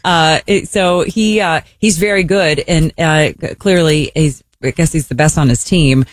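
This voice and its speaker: A woman's voice: low-pitched, a little slow, no room sound, clean studio sound. A woman co-hosting an evening radio show, telling a story in a rambling way with many restarts. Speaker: radio co-host. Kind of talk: casual radio chat. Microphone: studio microphone.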